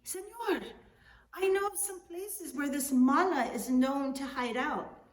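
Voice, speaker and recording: A woman's voice: high voice, slow, voice pushed up. Woman voicing a sly, falsely polite young trickster, echoey room. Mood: eagerly helpful. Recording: echoey room, distant mic, faint noise.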